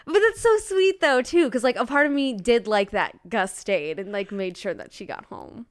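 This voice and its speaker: Young woman, highish pitch, animated intonation, casual book podcast chat, excitable, slangy. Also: moderate pace, clear, resonant tone. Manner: slangy, excitable, filler-heavy "like".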